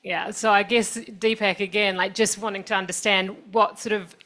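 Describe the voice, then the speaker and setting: A woman's voice: mid-range voice, steady tone, moderate pace, a bit bright. A woman moderating a stage fireside chat, friendly and leading with questions. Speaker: panel moderator.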